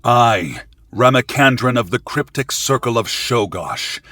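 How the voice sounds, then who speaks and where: A man's voice: mid-range voice, lively intonation, a little slow, sharp tone, no room sound, clean studio sound. A man voicing a sinister, fanatical warlock cult leader who preaches in a grandiose, ritual way for a fantasy audio story. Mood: fervent, exultant.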